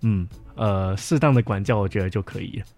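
Music plays behind a young man with a fairly low voice. He speaks Taiwanese-accented Mandarin in a casual podcast style, explaining things at length in a reflective, hedging way. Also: fairly quick, vocal fry, a little mellow. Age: young adult. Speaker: camp counselor sharing experiences. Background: background music.

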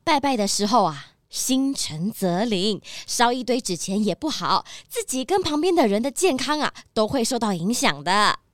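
A cheerful village auntie chuckles and chatters in a high, sing-song voice. Her manner is homely and warm-hearted, as in a storybook radio play. The recording is close and dry. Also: very animated, normal speed, few pauses, dry recording. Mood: reassuring. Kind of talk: children's radio drama acting.

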